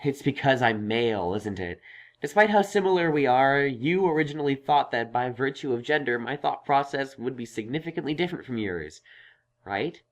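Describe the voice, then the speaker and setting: A kindly young male pony acted by a man with a mid-pitched voice, from a fan audio drama. He is a scholarly, gentle soul who reassures others, yet grows awkward and halting as he talks. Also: expressive, natural voice. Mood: gentle, reassuring. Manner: halting, reassuring, self-conscious.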